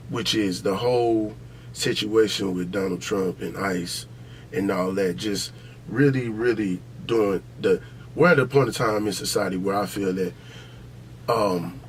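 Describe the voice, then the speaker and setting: Emphatic American man, casual podcast talk, noisy. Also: lowish voice. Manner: emphatic, repeats phrases, heavy slang and profanity. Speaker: podcast host.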